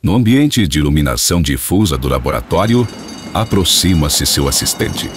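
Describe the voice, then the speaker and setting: A man's voice: extremely low pitch, very animated, normal speed, noisy background. A man reading scripted radio drama narration in a formal, dramatic storytelling manner.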